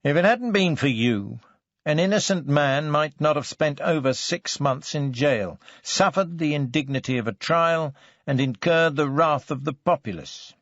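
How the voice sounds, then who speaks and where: A stern police detective, acted out by a male audiobook reader, forceful and biting. He hectors slowly and deliberately, with gravel in the voice. The sound is dry and slightly dull. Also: a little high, expressive, no echo.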